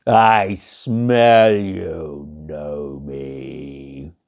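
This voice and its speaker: A storyteller voicing a big, gruff male bear who growls his words slowly. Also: very deep voice, steady tone, darkish tone, pitched below the performer's own voice, exaggerated voice. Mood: menacing. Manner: slow, drawn-out growl.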